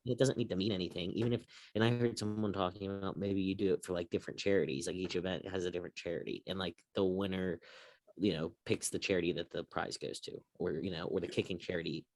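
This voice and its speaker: Male sports co-host, very low voice, close dry sound, informal and blunt, rambles through opinions. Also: steady tone, fast talker, darkish tone, dry recording. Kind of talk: casual opinionated sports chat.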